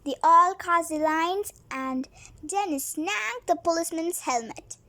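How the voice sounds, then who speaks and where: A woman's voice: very high-pitched, a little slow, sharp tone. A young girl hosting a read-along, reading a storybook aloud expressively and chatting to viewers. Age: child. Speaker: host of a read-along video.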